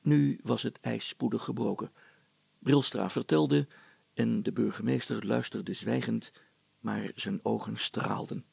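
A man reading a storybook aloud as an expressive narrator. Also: medium pitch, a little slow, creaky, breathy edge, dry recording.

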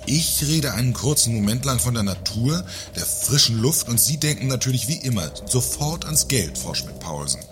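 An actor playing a pompous, irritable company director in a satirical radio play, condescending and pointedly polite. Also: male voice, medium pitch, sing-song, normal speed, hardly any pauses, over music, lightly acted. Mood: irritated, lecturing.

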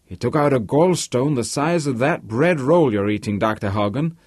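Guy doing a big loud american rich man, boastful and talky, pitched up and swooping, audiobook character. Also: lively intonation, normal speed, no room sound, clean studio sound, close to an everyday voice. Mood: loud, jovial. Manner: loquacious, blustering.

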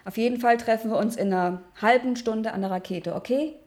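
An actress playing an urgent, defiant eighteen-year-old girl in a German radio drama, insistent and quick to talk back. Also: on the high side, darkish tone, close to an everyday voice. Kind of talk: German radio play acting.

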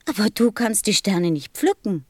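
A woman's voice: medium pitch, sing-song, normal speed, dry recording, clean studio sound. A woman playing a curious, earnest young boy in a radio play, innocent and persistent in manner. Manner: innocent, insistent questioning.

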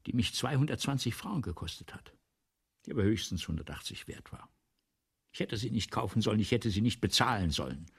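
An actor in a German radio play voicing an ailing, vain, anxious writer, theatrical and halting, with a raspy voice. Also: male voice, on the low side, animated, a bit bright, heavily acted. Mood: rambling, aggrieved. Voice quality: raspy, breathy, gravelly. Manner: theatrical, halting, repeats himself, swinging between whispers and outbursts.

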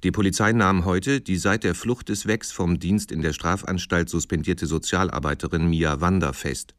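Actor as a low-voiced sober newsreader. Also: male voice, normal speed, dry recording, studio quality, natural voice. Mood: neutral. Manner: formal, neutral. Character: sober radio news announcer. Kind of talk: scripted news bulletin in radio drama.

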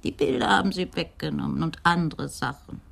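An actress playing a frail, lonely, frightened old woman in a radio crime drama, rambling in halting, simple sentences with a husky voice. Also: low-pitched, lively intonation, a bit bright, exaggerated voice. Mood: confiding. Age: older. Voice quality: husky, creaky, breathy. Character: frightened, frail, lonely old Dutch widow, chattering about neighbours.

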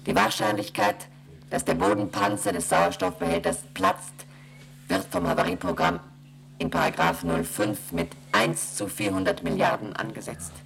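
A deep, gravelly station computer. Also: female voice, normal speed, low-quality recording. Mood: flat, mechanical. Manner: flat machine-like recitation of rules and figures. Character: cold female station computer, monotone and rule-bound. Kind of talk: radio play acting.

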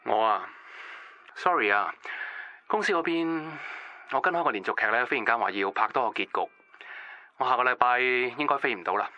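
A man's voice: mid-range voice, expressive, a little fast, pauses often, bright, forceful tone. A man with a Hong Kong Cantonese accent playing an evasive young love interest in conversational radio-drama dialogue. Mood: apologetic, awkward. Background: music.

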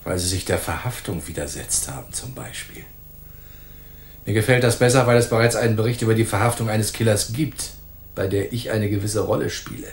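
Crooked killer cop for noir radio play, male actor: low-pitched, bitter, slangy threats, reverberant room. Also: fairly quick, close to an everyday voice. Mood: sneering menace. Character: menacing, bitter crooked police detective, bony killer cop. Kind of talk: 1980s German radio crime drama acting. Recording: roomy, echoing studio sound.

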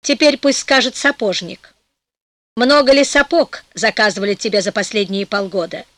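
A woman voicing a clever male soldier who questions villagers in a brisk, commanding, matter-of-fact way. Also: medium pitch, fairly bright tone, dry recording, natural voice. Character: bold, clever male soldier, weary and easygoing.

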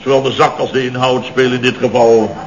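Actor as blustering fifty-year-old boss, dull old recording. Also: male voice, mid-range voice, steady tone, a little fast, crowd noise, close to an everyday voice. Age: 50s. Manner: exclamatory, repetitive, stammering when flustered. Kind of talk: 1970s radio comedy acting.

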